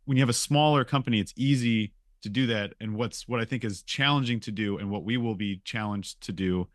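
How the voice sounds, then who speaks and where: Male podcast host, quick pace, dry studio-close sound, emphatic and conversational. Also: fairly low pitch, no echo. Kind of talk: casual podcast discussion.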